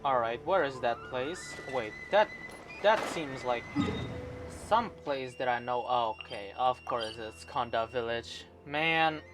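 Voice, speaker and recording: A man's voice: medium pitch, lively intonation, slow. A man giving casual gameplay reactions as a video host, slangy and full of incredulous interjections. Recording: game music loud behind voice.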